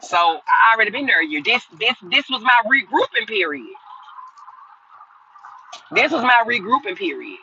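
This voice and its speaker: A woman's voice: on the high side, wildly swooping pitch, moderate pace, pauses often, sharp tone, dull audio, slight background noise. A woman in her fifties hosting a livestream, chatting casually with viewers and answering their comments in a rambling, repetitive way. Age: 50s. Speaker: livestream host.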